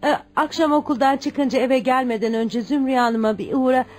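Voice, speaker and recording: An actress in a radio play playing a gentle, pious and caring mother who speaks coaxingly. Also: high-pitched, fairly even intonation, normal speed, lightly acted. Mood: gentle, concerned. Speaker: radio drama actor. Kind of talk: Turkish radio theatre acting.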